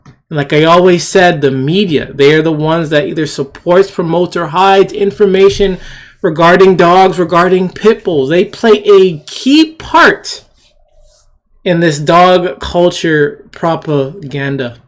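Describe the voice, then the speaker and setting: Slow, deliberate man giving opinion commentary. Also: high voice. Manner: repeats himself, halting, emphatic. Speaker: channel host. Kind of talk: opinion commentary over a news clip.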